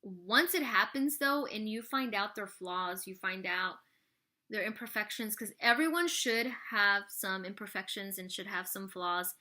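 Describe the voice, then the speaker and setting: A woman's voice: mid-range voice, unhurried, bright, forceful tone. A woman in her thirties chatting casually to camera as she answers viewer questions, rambling with lots of "like" and "you know". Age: 30s.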